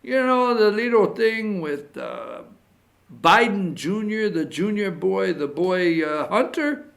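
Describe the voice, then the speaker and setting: A man acting out a chummy, insinuating Ukrainian oligarch making a phone call, in a halting, conspiratorial way. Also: high-pitched, expressive, vocal fry, fairly bright tone, heavily acted. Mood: sly, hinting.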